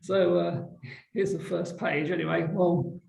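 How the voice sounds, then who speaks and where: Man quizmaster, high pitched, gravelly, echoey room, video call. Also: steady tone, measured pace, darkish tone. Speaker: co-host running a quiz. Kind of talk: casual chat.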